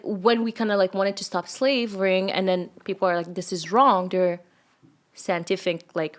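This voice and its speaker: Rambling woman podcast host. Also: medium pitch. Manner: loose and rambling, heavy use of "like" fillers. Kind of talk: casual chat.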